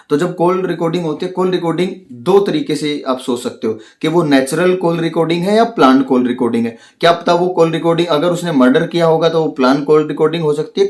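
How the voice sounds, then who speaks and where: A man gives unscripted commentary, explaining and arguing his opinions in halting, searching phrases. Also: mid-range voice, darkish tone. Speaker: online commentator analysing a crime case. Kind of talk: unscripted spoken commentary on a crime case.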